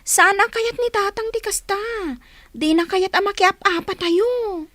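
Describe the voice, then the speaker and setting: An actress with an Ilocano accent playing a young, peace-minded elder sister in a radio drama. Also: female voice, extremely high pitch, unhurried, a bit bright, no echo. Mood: cautioning.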